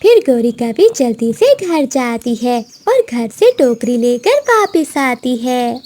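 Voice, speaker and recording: A woman's voice: fairly high pitch, sing-song, takes it slowly, darkish tone. A woman narrating a scripted children's story as the storyteller.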